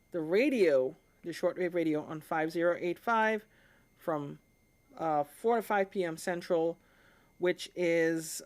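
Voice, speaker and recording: Woman, slightly low voice, livestream host, casual chat, informal, conversational phrasing. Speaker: live stream host, amateur radio hobbyist.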